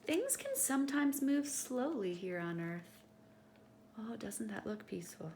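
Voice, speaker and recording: A woman reading a picture book aloud to children in an expressive, teacherly way, speaking directly to her young listeners. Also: a little high, a little slow, a little hiss or hum.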